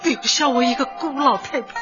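A woman's voice: sits high, a little fast, close to an everyday voice. An actress in a radio drama playing a grief-stricken elderly grandmother, tearful and pleading. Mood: grieving. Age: older. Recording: dull lo-fi, music behind.

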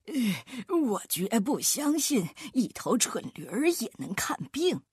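A woman voicing a haughty, irritable male astrologer in a children's story, with a breathy rasp and a pompous, sneering manner. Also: fairly high pitch, very animated, takes it slowly, a little mellow, dry recording, studio quality, heavily acted. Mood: indignant. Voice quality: breathy, raspy.

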